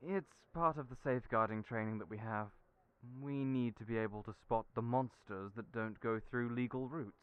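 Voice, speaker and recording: A man voicing a young friend who talks earnestly and encouragingly, raised in pitch from his own voice. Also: moderate pace, clean tone, natural voice. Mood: explaining. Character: warm, reassuring friend, young adult. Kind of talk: audio roleplay acting. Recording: tinny phone-like audio.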